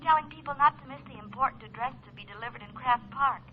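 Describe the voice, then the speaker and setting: A woman's voice: a little high, quick pace, close to an everyday voice. An actress playing a coy, effusive young lady on the telephone in old radio comedy. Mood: gushing. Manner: effusive, coaxing. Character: gushing, coy, persistent young lady caller. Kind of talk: 1940s radio comedy acting. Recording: muffled, noisy old radio, telephone scene.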